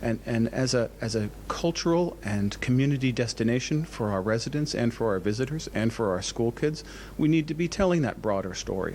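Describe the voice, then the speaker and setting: A man giving explanatory interview answers as a museum director, enthusiastic but halting, often repeating words. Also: fairly low pitch, a little mellow, slight background noise.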